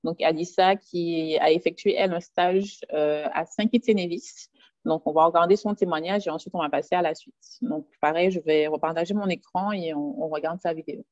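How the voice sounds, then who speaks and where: A woman's voice: fairly low pitch, steady tone, a little fast, a little mellow, no room sound. A woman moderating an online panel in a chatty, encouraging way over a video call. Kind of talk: hosting a video-call discussion. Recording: dull, lo-fi video-call audio.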